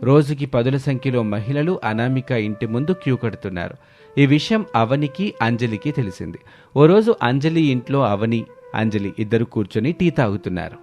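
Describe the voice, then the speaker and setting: A man's voice: mid-range voice, lively intonation, normal speed, a little mellow. A man narrating a scripted moral story as the storyteller. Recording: music bed under the voice.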